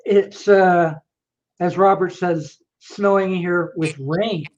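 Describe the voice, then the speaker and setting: A woman's voice: on the low side, steady tone, slow, a little mellow. Jokey woman host, slightly muffled. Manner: jokey, upbeat. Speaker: chat host. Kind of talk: casual video chat.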